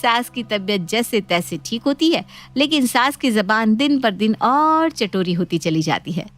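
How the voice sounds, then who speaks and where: A woman's voice: fairly high pitch, lively intonation, a little slow, fairly bright tone, soft background music. A woman reading scripted story narration as a storyteller.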